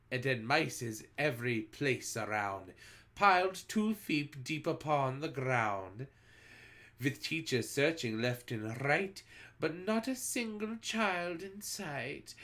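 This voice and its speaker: A wicked old witch queen gloats and crows through a singsong rhyme, put on by a reader. Her voice leaps up into shrill shouts and swoops back down. She takes her time over each line of the storybook spell. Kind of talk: storybook read-aloud.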